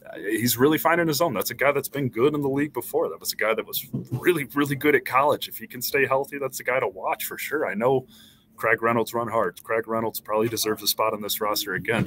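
A man's voice: mid-range voice, a bit bright, slight background noise, rough recording. A man in his thirties, a sports beat reporter, talking casually on a postgame live stream over a headset, rattling off stats with frequent restarts. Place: small indoor room.

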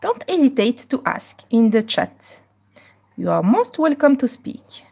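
A Belgian woman hosting a live coloring stream, chatting casually and moving between French and slightly halting English. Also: fairly high pitch, very animated, vocal fry, a little mellow, breathy edge.

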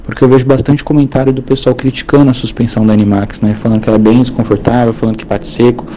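A man's voice: medium pitch, flat delivery, quick pace, mellow, slight background noise, poor audio. A man in his twenties from São Paulo with a Paulista accent, calling in politely with a hesitant, filler-heavy manner. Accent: Paulista Brazilian Portuguese. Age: 20s. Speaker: caller.

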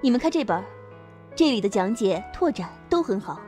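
A woman playing a kind, studious high-school girl in an amateur campus audio drama. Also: big pitch swings, brisk, dark tone, natural voice. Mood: friendly. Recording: echoey, long reverb, music underneath.

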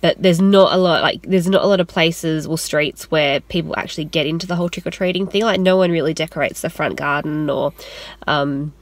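A woman's voice: sits low, a little fast, no room sound. A young Australian woman chatting casually in a parked car, rambling with lots of fillers and restarts as she shows her shopping finds. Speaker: lifestyle vlogger. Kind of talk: casual chat. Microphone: camera on the dashboard.

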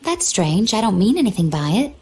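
A woman voicing a serene, coy young female warrior in a video game, with a quietly wistful manner. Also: medium pitch, a little hiss or hum. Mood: calm, wistful. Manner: calm, coy, unhurried. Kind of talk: video game cutscene acting.

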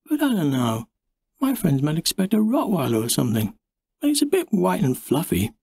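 A man's voice: fairly high pitch, wildly swooping pitch, normal speed, gravelly, a little mellow, breathy edge, dry recording, studio quality, pitched above the performer's own voice, full character voice. A man voicing a casual, doubtful young boy in an audiobook story.

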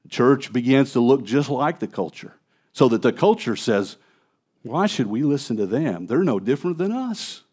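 A man's voice: mid-range voice, normal speed, slightly rough. A man in his fifties, a pastor preaching an expository sermon in a conversational way from a church stage, on a headset mic. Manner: conversational preaching, animated gestures at a lectern. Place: church stage, large indoor hall.

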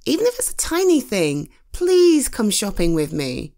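A woman's voice: mid-range voice, normal speed. A British woman acting a cute, coaxing, easily flustered girlfriend in a casual one-sided roleplay conversation. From United Kingdom. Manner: cute, coaxing, giggly, expressive. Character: playful, eager, easily flustered girlfriend talking to partner. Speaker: roleplay voice performer.

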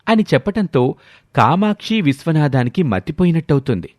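A man's voice: fairly high pitch, big pitch swings, a little fast, darkish tone, no room sound. A man narrating a scripted moral story in a dramatic storytelling style.

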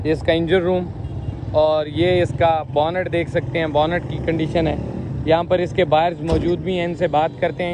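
Brisk man touring a car market. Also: a little high, background noise. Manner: brisk, repetitive salesman-like patter. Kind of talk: walkaround car showcase with owner chats.

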